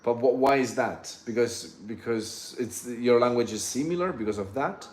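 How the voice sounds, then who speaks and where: A man's voice: medium pitch, normal speed. Italian-accented man chatting about languages. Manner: animated, asks follow-up questions, repeats words. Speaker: host. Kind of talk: casual conversation about languages.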